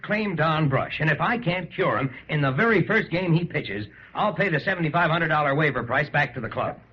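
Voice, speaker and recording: Actor as a hearty ballplayer, animated and high. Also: male voice, brisk, a little hiss or hum, natural voice. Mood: earnest, insistent. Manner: stammering when flustered, chuckling when pleased. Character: hearty, good-natured big star ballplayer, a grown man. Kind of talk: 1930s-set radio drama acting. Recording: dull, lo-fi old radio recording.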